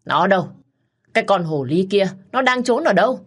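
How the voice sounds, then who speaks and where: A woman voicing a spiteful, scheming older mother-in-law who scolds loudly and harshly. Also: moderate pace, breathy edge. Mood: venomous. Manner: shrill, scolding, loud and harsh.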